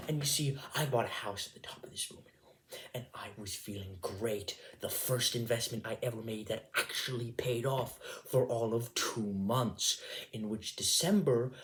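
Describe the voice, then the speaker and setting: A man's voice: medium pitch, a bit bright, natural voice. Man acting an emphatic, agitated comedian, noisy recording. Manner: emphatic, repeating words for effect. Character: chatty, agitated self-employed Irish comedian telling his misfortune. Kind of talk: theatrical comic monologue. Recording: clearly noisy background with clicks.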